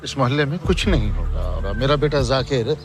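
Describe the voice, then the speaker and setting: A man's voice: mid-range voice, big pitch swings, a little fast, over music, natural voice. An actor playing an older father and neighbour who speaks reassuringly and brushes off danger.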